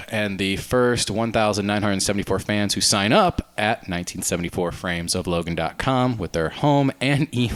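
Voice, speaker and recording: A man co-hosting a podcast, casually discussing pop culture in an opinionated, questioning way. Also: fairly low pitch. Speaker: podcast co-host.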